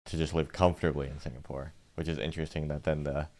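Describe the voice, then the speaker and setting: Super deep voiced guy reacting, really flat and even, thinking out loud. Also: quick pace. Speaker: reaction video co-host. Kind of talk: casual reaction chat.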